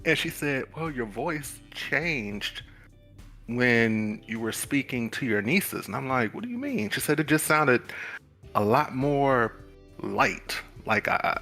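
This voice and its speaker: A man's voice: medium pitch, very animated, takes it slowly, vocal fry, pushed and bright, faint music behind. A man chatting casually on a livestream, hesitant and often laughing as he tells a story.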